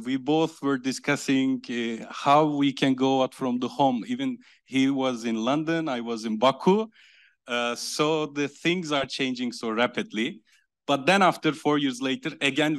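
A middle-aged man from Turkey with a Turkish accent, giving a semi-formal conference speech as a panelist in a halting, hesitant way with frequent pauses. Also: medium pitch. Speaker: conference panelist, company representative. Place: conference room, seated at long panel table.

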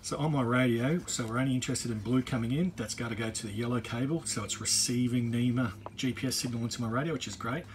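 Male, middle-aged, mid-pitched voice, informal hands-on tutorial delivery with restarts, handheld phone sound with clear ambient noise. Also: fast talker, hardly any pauses. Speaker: boat owner giving a DIY walkthrough. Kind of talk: casual off-the-cuff tutorial. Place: on a boat.

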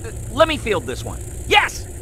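A man voicing a small, brash, wisecracking sidekick creature in a video game, excitable and sarcastic. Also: very high voice, moderate pace, slight background noise, full character voice. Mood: flippant. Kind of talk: comic video game cutscene acting. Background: game music.

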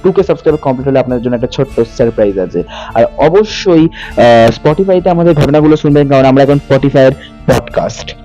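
A man's voice: medium pitch, animated, a little fast, warm tone. A man narrating a horror story as a channel host. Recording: muffled lo-fi audio with music behind. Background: music bed.

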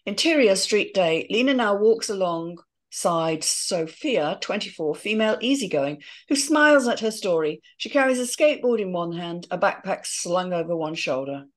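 A woman's voice: mid-range voice, lively intonation, normal speed. A woman steadily reading the scene directions of a screenplay in a matter-of-fact way. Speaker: narrator reading stage directions.

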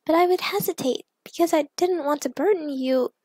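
A woman voicing a young superheroine in an audio story, playful but anxious, confiding in an expressive, earnest way. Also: sits high, normal speed, no room sound, pitched above the performer's own voice, lightly acted. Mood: anxious, confessing.

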